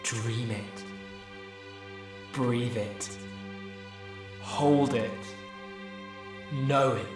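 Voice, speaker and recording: Echoey, very slow solemn advert man, acted. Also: mid-range voice. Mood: solemn, yearning. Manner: clipped two-word slogans, drawn out. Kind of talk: parody advert narration. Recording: echoey, with sad string music behind.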